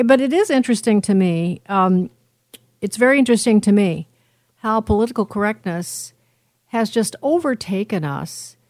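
A woman's voice: medium pitch, a little mellow, no echo. Female radio host, dry close sound. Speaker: radio talk show host. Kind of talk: conservative talk radio commentary, unscripted.

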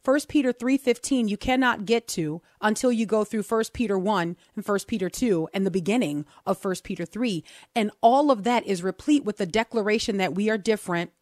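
A woman's voice: medium pitch, a little fast, hardly any pauses, no room sound. Playful woman radio host in a dry studio. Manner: animated, playful, joking banter.

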